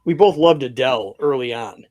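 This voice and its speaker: A man's voice: medium pitch, normal speed, a little mellow, dry recording. A man in his fifties who hesitates and repeats words, laughing often in casual chat. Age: 50s. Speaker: co-host.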